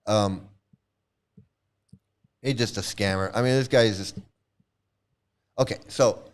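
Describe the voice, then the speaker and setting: A man's voice: on the low side, slow. A middle-aged man ranting in casual commentary, profane and scornful, repeating words and trailing off into restarts. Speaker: commentary channel host.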